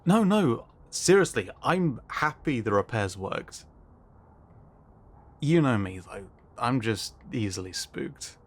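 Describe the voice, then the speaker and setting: A man's voice: medium pitch, expressive, slow pace. A man playing an easily spooked, wry friend in a scripted sci-fi roleplay, talking in a hesitant, casual, dryly joking way. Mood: wry, confiding.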